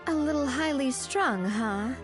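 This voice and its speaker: A woman's voice: sits high, lively intonation, unhurried, over music. An actress playing a kind, spirited young woman in a video game. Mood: friendly, wry.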